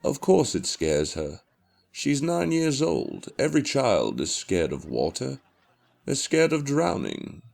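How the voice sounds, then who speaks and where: A man playing an older widowed father in an audio horror drama, tipsy, gravelly, dismissive yet affectionate. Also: medium pitch, wildly swooping pitch, takes it slowly, a little mellow, full character voice. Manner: dulled with liquor, dismissive, soothing.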